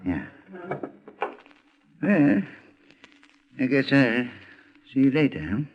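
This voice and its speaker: An actor playing an uneasy older night watchman who speaks haltingly and humbly in an old radio detective drama. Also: mid-range voice, sing-song, exaggerated voice. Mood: nervous, humble. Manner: halting, hesitant, stammering. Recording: tinny, band-limited old radio recording.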